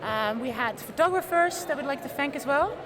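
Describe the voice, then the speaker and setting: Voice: high voice, animated, fairly quick. An event organizer giving thanks to helpers, hesitant with many fillers. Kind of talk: thank-you speech. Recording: crowd and background noise. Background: crowd.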